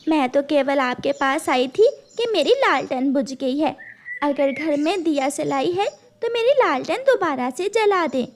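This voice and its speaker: Woman as cartoon mother bird, anxious, gentle, pleading, very high and swooping. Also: expressive, unhurried, slight background noise. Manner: polite, earnest, pleading.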